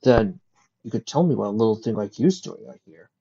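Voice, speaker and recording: A man voicing a kindly male pony traveler, tender, soft-spoken and playful, for a story. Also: fairly low pitch, animated, fairly quick, vocal fry, slightly rough, highs cut off, exaggerated voice. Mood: concerned.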